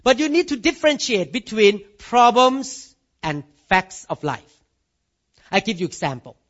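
A Thai man with a Thai accent preaches emphatically as a pastor, his grammar loose. His voice sits very high for a man. The recording is dull and lo-fi. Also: sing-song, measured pace, a bit bright. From Thailand. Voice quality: non-native grammar. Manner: emphatic preaching, voice rising and falling, calls of amen and hallelujah. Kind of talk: sermon.

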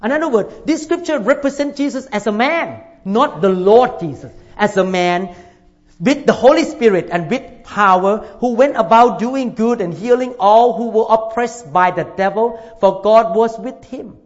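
A male pastor preaches with a fairly high voice, pressing his points by repeating them and asking for amens. The recording is dull and lo-fi, and it sounds like a church hall. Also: moderate pace. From Thailand. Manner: emphatic, repeats phrases, calls for amens. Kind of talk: sermon.

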